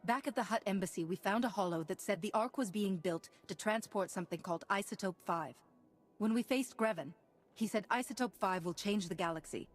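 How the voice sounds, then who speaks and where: An actress playing a steadfast, confident heroine in a video game, composed and assured. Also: female voice, medium pitch, brisk, lightly acted. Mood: serious. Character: steadfast, confident female Jedi hero. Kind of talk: video game character acting.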